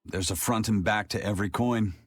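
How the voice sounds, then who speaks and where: Man acting a low, stoic pirate. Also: fairly quick, no echo, clean studio sound, lightly acted. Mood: stoic resolve. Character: stoic, steady male pirate first mate. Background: music.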